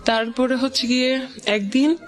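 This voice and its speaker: A woman with a Bangladeshi accent telling her personal story as an interview guest, haltingly, often quoting past conversations. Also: sits high, steady tone. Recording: music playing behind the voice.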